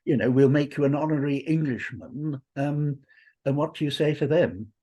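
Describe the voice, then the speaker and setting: A man's voice: a little high, normal speed, mellow. A man speaking as an interview guest in a conversational way, with a somewhat theatrical storytelling manner.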